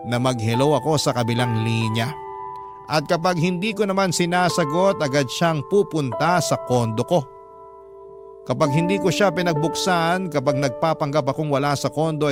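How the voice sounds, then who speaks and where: A man's voice: a little high, lightly acted. A man with a Filipino accent giving scripted first-person narration for a radio drama. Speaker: narrator reading a letter sender's story. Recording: music clearly behind the voice.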